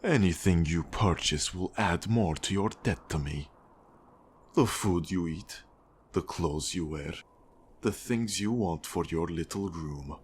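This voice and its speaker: A deep-voiced Russian mob boss, acted by a man for an ASMR roleplay, speaks over noticeable background noise. He takes his time with each phrase, cold and controlling. His Russian accent carries a condescending, menacing edge. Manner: slow, deliberate, controlling, condescending. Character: cold, menacing Russian male mafia boss and accountant, power-hungry. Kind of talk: ASMR roleplay monologue addressed to the listener.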